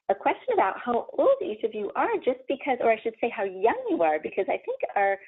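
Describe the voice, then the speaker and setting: A woman's voice: medium pitch, phone-quality sound. A woman hosting an online interview, gracious and encouraging with her guests. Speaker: host, leads a vegan spirituality group.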